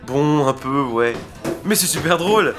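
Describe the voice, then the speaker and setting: A man's voice: fairly high pitch, unhurried, music in the background. A man playing a cheeky, gossipy young First World War soldier who teases and jokes. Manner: teasing, giggly, lively. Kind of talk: amateur comedy audio drama.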